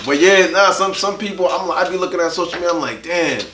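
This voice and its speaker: A man in his mid thirties in casual podcast chat, talking quickly, repeating himself and checking that he's understood. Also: high voice, pushed and bright. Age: 30s. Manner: rapid, repeats himself, keeps asking "you know what I'm saying". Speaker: podcast co-host. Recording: echoey room with faint noise.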